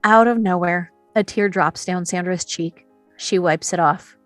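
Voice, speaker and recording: A woman's voice: on the low side, unhurried, dry recording, soft background music. A woman reading scripted stage directions as the narrator of a screenplay table read.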